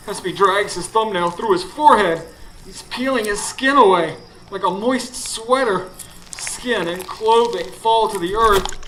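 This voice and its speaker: A man's voice: sits high, a bit bright. A man playing a grieving, frightened narrator in a live radio horror drama, telling it dramatically in the first person. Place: studio before live audience. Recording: noisy live radio stage recording.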